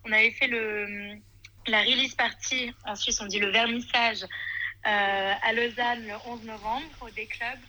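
A woman's voice: fairly high pitch, steady tone, unhurried, bright, forceful tone. A woman from Switzerland, a singer chatting casually and enthusiastically as a guest over an internet call. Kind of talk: casual chat. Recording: noisy remote call.

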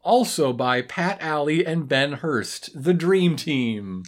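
A woman's voice: low voice, animated, takes it slowly. A woman co-hosting a podcast, chatting casually through a comedic episode recap with a wry, sweary, riffing manner. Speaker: podcast co-host.

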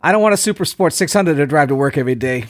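A man's voice: a little high, lively intonation, racing, background noise. A man with a New Jersey accent reading a listener email aloud on a podcast and laughing through it.

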